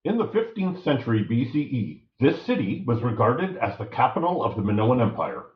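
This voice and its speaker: Male actor as TV game show host. Formal, animated delivery, muffled, roomy set-speaker sound. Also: medium pitch, a little fast. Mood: formal, brisk. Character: smooth formal television quiz show host.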